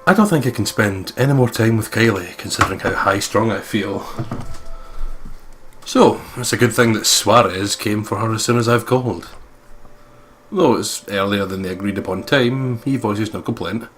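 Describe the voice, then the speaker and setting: Low-voiced young man reading a game aloud. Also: normal speed, a bit bright, soft background music. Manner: sweary, playful. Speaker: let's play host. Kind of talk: reading visual novel aloud with joking commentary.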